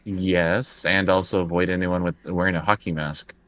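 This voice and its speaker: Deep, bright-voiced male gamer. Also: steady tone, moderate pace. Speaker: tabletop game player. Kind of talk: casual game chat.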